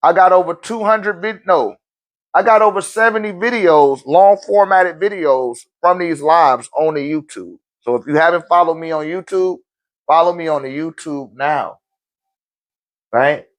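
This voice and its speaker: Male live-stream host, slightly high voice, relaxed slangy chat with viewers. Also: normal speed. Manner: loose, conversational, slangy, laughs often. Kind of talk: casual live-stream talk answering viewer questions.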